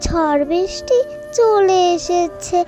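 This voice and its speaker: A woman's voice: extremely high pitch, expressive, takes it slowly, voice pushed down, full character voice. A woman voicing a tender-hearted young daughter in a children's cartoon, with music behind her. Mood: gentle.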